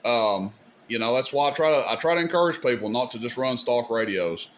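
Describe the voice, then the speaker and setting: A man's voice: medium pitch, brisk. Man with folksy delivery, noisy recording. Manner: informal, folksy, opinionated. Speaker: host demonstrating radio gear. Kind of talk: casual hands-on demonstration. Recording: clear background noise, room ambience.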